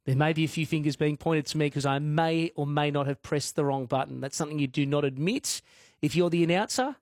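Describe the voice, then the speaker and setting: A man's voice: on the high side, fairly quick, dry recording, studio quality. A man hosting a talkback radio show, chatty and self-deprecating. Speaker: radio host.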